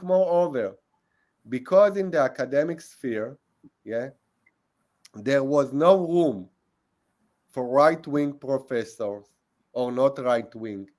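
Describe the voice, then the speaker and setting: Slow-spoken Israeli man on a video call. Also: mid-range voice, a little mellow. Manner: measured, repeats "I, I" and tags "yeah?". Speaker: guest historian. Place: small room at home. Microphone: earbuds on video call.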